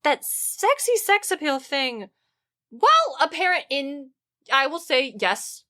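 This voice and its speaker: Bright, high-voiced woman chatting animatedly. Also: slow pace. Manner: animated, opinionated, swears casually. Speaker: podcast co-host. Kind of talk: casual comedic discussion.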